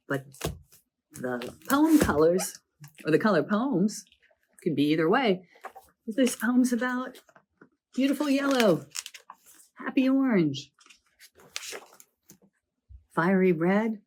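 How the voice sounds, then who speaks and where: A croaky woman with a summer cold, a children's librarian presenting a library program and telling the stories from each book in a chatty, lively way. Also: fairly low pitch. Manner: chatty, lively storytelling delivery.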